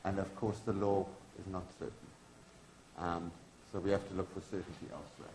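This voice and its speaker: Male academic presenter, lowish pitch, measured phrasing, live in a reverberant room. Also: level tone, darkish tone. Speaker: event presenter and play reader. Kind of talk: informal introduction and stage-direction reading at a live event. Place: echoey indoor event room. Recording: echoey room with clear background noise.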